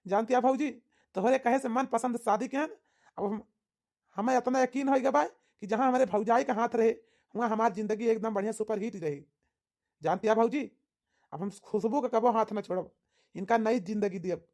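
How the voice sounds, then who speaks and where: A woman voicing an earnest, defiant young man in a cartoon, talking loudly and emphatically with an Awadhi accent. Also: a little high, normal speed, natural voice. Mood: grateful. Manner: loud and emphatic. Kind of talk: cartoon voice acting.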